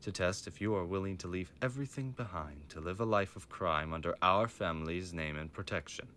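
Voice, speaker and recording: A man's voice: low-pitched, brisk, a bit bright, a little hiss or hum. A man playing a stern, menacing mafia boss father with a formal, commanding manner in an audio roleplay. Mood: cold, threatening. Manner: formal, commanding, coldly threatening.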